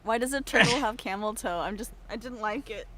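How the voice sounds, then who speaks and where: A woman chatting casually on a livestream, expressive and given to playful exclamations. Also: on the high side, fairly quick, a crowd in the background. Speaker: livestream guest on an art work stream. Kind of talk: casual banter. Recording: noticeable background noise.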